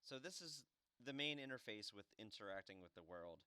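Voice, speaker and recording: Deep, clear-voiced man, wry game commentary. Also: expressive, a little fast, sharp tone, dry recording, studio quality. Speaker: host playing through a game.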